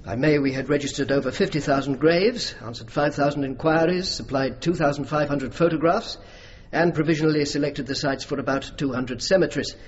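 An actor playing an earnest, determined army officer in a radio drama, speaking in a formal, measured, persuasive way. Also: male voice, fairly high pitch, natural voice. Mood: grave. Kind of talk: radio drama dialogue and narration. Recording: muffled radio recording with clear noise.

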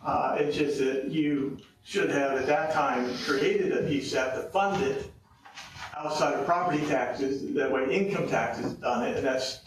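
A tired man addressing a county council from a podium, explaining at length with many filler pauses. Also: mid-range voice, a little hiss or hum. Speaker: public commenter on tax policy. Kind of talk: explaining at a public meeting. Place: county council meeting room. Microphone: podium mic, heard from a distance. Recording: echoey room, far from the mic.

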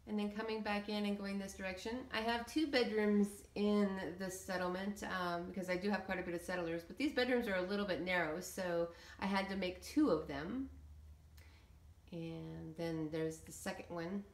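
A woman's voice: medium pitch, normal speed. Lady doing laid back game tour commentary, kinda hesitant n wandering, echoey room. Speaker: video creator showing game settlement builds. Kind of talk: casual walkthrough commentary. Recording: roomy, echoey room sound.

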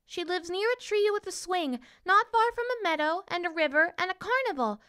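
Lady doing a teeny paper princess for a kids story, squeaky and high, plaintive, pleading. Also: expressive, normal speed, bright, forceful tone, heavily acted. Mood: earnest, plaintive. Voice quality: thin, squeaky voice. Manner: pleading, exclaiming. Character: tiny, brave, plaintive paper doll princess. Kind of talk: children's storybook character voice.

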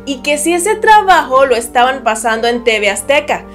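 A young woman presenting celebrity gossip news, reading a script in an upbeat, even manner. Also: high-pitched, normal speed, music in the background.

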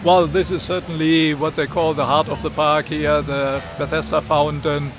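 A man's voice: fairly high pitch, flat delivery, moderate pace, over music. An older man from Germany with a German accent, a park volunteer speaking hesitantly with many filler sounds in an outdoor street interview. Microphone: camera mic held by interviewer.

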